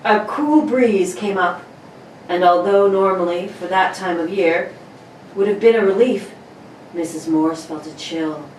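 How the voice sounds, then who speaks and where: A woman's voice: lowish voice, measured pace, a little mellow. A woman narrator reading a radio play script in a dramatic storytelling style. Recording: roomy, distant mic, faint background noise.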